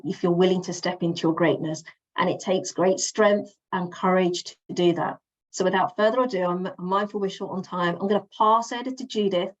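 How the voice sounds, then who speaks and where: A woman's voice: lowish voice, dull audio. A woman from the UK, a relationship coach hosting an online session, giving an encouraging introduction with small restarts. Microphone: video call.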